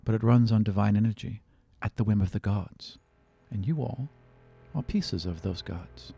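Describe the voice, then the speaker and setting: A man playing a grave, guarded temple teacher, a woman who speaks in measured, terse, formal phrases in a tabletop roleplaying game. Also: on the low side, vocal fry, warm tone, slight background noise. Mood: grave, cryptic.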